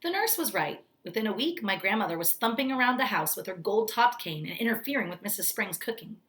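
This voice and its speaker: Animated woman reading a storybook aloud. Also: medium pitch, a little fast. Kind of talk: expressive storybook read-aloud narration.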